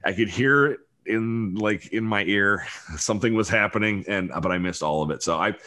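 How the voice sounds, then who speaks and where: A man's voice: lowish voice, normal speed, sharp tone. A man hosting a casual podcast chat, rambling and self-deprecating, swearing freely. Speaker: podcast host.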